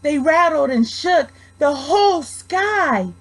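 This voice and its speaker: High-voiced young woman reading a storybook. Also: animated, slow pace, fairly bright tone. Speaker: storybook reader, education student. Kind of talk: expressive children's story read-aloud.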